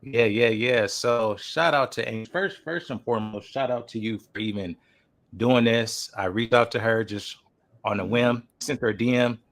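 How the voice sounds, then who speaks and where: A man's voice: mid-range voice, normal speed, a bit bright. A forty-year-old man hosting a casual podcast interview in a laid-back way, often repeating short affirmations.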